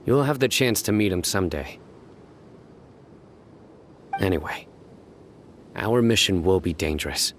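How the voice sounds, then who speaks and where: An actor playing a stern, composed young investigator in a video game, speaking in a serious, measured deadpan. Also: male voice, sits low, a little hiss or hum. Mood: calm, reassuring. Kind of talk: video game character acting.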